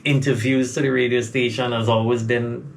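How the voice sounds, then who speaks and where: Trinidad-born male artist guest, faint accent, gravelly, hesitant. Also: mid-range voice, level tone, normal speed, noisy background. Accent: faint Trinidadian, mostly lost. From Trinidad and Tobago. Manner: hesitant, repeats words as he starts. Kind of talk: casual interview.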